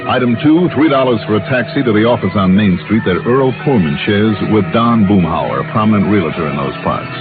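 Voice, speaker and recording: A man's voice: lowish voice, expressive, brisk, natural voice. An actor playing a breezy, wry insurance investigator who narrates his cases in old radio detective drama style. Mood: brisk narrating. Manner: wry, bantering. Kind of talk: 1950s-60s radio detective drama acting. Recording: muffled old radio broadcast, music bed.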